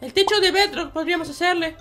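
A squeaky, childlike, excitable voice of a gamer doing roleplay commentary, full of repeated exclamations. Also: fast. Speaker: gaming video creator. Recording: music behind the voice.

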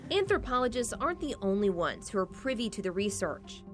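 A woman's voice: mid-range voice, sing-song. Woman reporter narrating a news story over music. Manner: measured, deliberate voice-over delivery.

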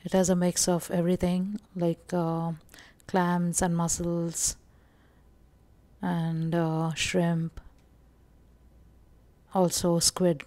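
A woman from India narrating a casual shopping walkthrough vlog, calm and explanatory with frequent pauses and fillers. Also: on the low side, no room sound. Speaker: vlogger.

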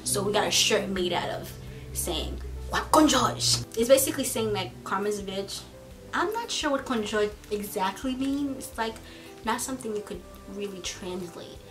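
Chatty woman with a Haitian accent. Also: medium pitch. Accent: Haitian Creole. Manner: chatty, laughs easily, drops to soft asides. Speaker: YouTuber. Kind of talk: casual vlog chat. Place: bedroom, indoors. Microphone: camera in front.

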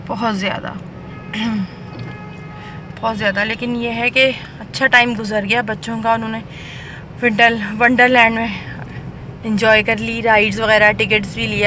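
A woman's voice: on the high side, flat delivery, normal speed, sharp tone. A young woman, chatting through a casual shopping vlog as she walks around. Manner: chatty, narrating while walking around. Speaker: vlogger mother. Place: busy mall and car outdoors. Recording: phone audio with clear background noise. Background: traffic and street noise.

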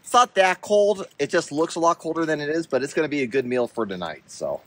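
A man's voice: fairly high pitch, lively intonation, a little fast, a little hiss or hum. A man in his thirties, filming a casual camp vlog outdoors at a mountain campsite and explaining things in an easygoing way as he goes. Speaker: overlanding vlogger.

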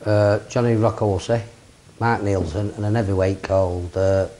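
Plain-spoken promoter, deep voice. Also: male voice, steady tone, moderate pace, mellow. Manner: plain-spoken, rambling lists. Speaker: boxing promoter. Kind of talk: seated interview. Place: office with framed memorabilia. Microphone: lapel.

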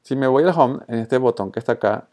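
Male tutorial presenter, Colombian-Venezuelan accent, relaxed dark tone, mid pitch, informal and spontaneous, drops in English tech words. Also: a little fast. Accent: Colombian-Venezuelan Spanish. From born in Colombia, raised in Venezuela. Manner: informal, mixes in English terms. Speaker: social media tutorial presenter. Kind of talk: casual screencast tutorial, spontaneous narration.

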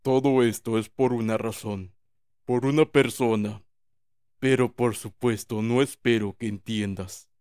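A man with a Latin American accent playing a cold, imperious middle-aged villain in a comic fandub, measured and formal. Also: mid-range voice, steady tone, darkish tone, clear, resonant tone, no echo, clean studio sound, natural voice. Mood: cold, composed. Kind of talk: Spanish-language comic fandub acting.

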